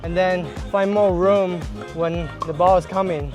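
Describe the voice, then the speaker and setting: A man tries pickleball on an outdoor court for the first time, full of curious questions and quick laughs. His voice sits fairly high. Faint music plays behind. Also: steady tone, lo-fi sound. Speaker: learner trying pickleball. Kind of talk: casual chat.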